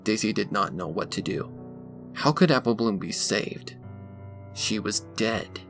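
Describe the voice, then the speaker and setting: A man narrating a dark story from a script in a dramatic style. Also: lowish voice, lively intonation, unhurried. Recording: music behind the voice.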